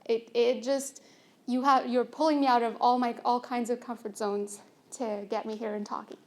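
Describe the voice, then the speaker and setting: A young adult woman, soft-spoken and earnest, telling a personal story on stage at a conference, with fillers and restarts. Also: fairly high pitch, level tone, normal speed. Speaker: conference speaker.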